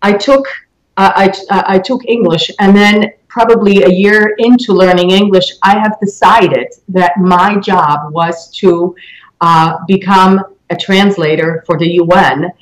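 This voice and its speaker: A woman in her forties with a Polish accent, giving reflective interview answers in halting, deliberate phrases. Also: medium pitch, steady tone, poor audio. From Poland. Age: 40s. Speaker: interview guest, mortgage loan originator.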